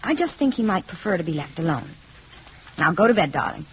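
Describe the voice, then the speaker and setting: An actress playing a polite, protective mother with a composed, gently firm way of talking in a 1950s radio play. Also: lowish voice, big pitch swings, brisk. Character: polite, protective young mother living in a boarding house. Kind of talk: 1950s radio drama acting. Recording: dull, noisy old radio recording.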